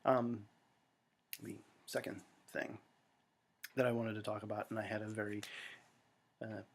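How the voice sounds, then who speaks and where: A man's voice: lowish voice, level tone, measured pace, gravelly, breathy edge. An American man talking to friends in a rambling, self-deprecating monologue full of fillers and restarts, recorded at home. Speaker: vlogger.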